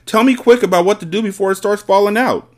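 A man voicing a blustering, vain middle-aged father in a storybook, yelling with indignant exclamations. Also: very high-pitched, wildly swooping pitch, normal speed, creaky, breathy edge, pitched above the performer's own voice, exaggerated voice. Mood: wailing panic.

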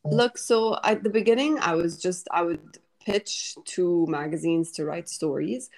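A woman in her late twenties with an Egyptian accent speaks in a fairly low voice. She talks in a relaxed, reflective way, restarting phrases and dropping Arabic words into her English, and Arabic is her first language. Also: a little slow, low-quality recording. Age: 20s. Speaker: podcast host and writer. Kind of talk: casual interview talk.

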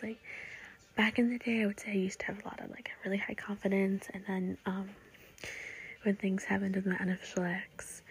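Young female podcast host, breathy near-whisper, blunt, swears a lot, rambling and casual. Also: medium pitch, low-quality recording. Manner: blunt, profane, rambling with many fillers. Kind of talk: casual solo podcast talk.

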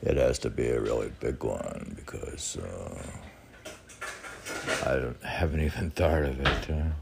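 A man's voice: a bass voice, lively intonation, a little slow, creaky. An older man musing casually and reciting short poems, rambling along as he asks and answers his own questions. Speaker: poet, meditation teacher. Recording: faint background noise with clicks.

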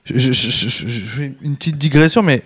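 Man from france, podcast host, loose slangy chat, mid-pitched voice. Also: a little fast, fairly bright tone, slightly rough. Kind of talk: casual conversation.

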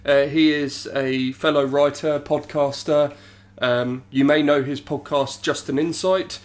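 Man, podcast host, stuffy nose from a cold, casual chatty delivery, fairly high pitch. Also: steady tone, measured pace. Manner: chatty, self-deprecating, many ums and restarts. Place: home studio.

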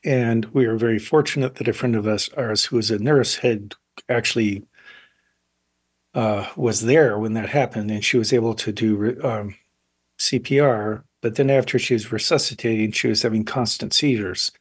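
A man in his sixties, an artist interviewed on radio, speaking in a thoughtful, halting way and often pausing to find words. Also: fairly low pitch, fairly even intonation, slightly rough. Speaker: painter, interview guest. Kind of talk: reflective interview talk.

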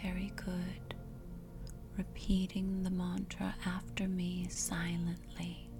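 Very slow whispering woman hypnotist. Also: on the low side, monotone. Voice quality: breathy unvoiced whisper. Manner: slow, soothing, repetitive, with long pauses. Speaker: hypnotist guiding sleep session. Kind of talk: ASMR whispered guided hypnosis. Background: music.

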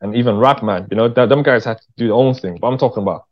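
A man's voice: medium pitch, racing, dull audio. A British man talking as an interview guest in an informal, slangy, emphatic way, often checking that the listener follows. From Birmingham, England. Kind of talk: casual conversational interview.

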